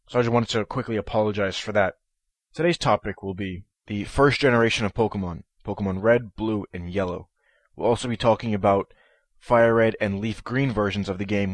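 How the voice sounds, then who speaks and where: A man's voice: on the low side, no echo. Nasal man hosting a fan podcast. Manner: eager, with frequent ums and restarts. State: stuffy nose from a head cold. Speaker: podcast host. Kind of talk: solo podcast talk.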